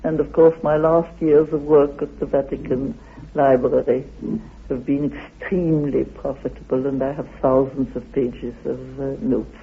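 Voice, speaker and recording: An older man giving a reflective interview as a researcher, speaking in an emphatic, deliberate way. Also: mid-range voice, level tone, husky, narrow, tinny audio. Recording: band-limited old radio interview.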